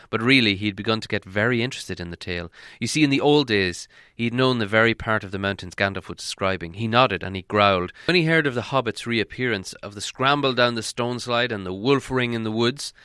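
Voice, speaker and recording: A man's voice: on the low side, a little fast, sharp tone, smooth, no room sound, clean studio sound. A man reading a storybook aloud as scripted audiobook narration. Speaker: audiobook reader.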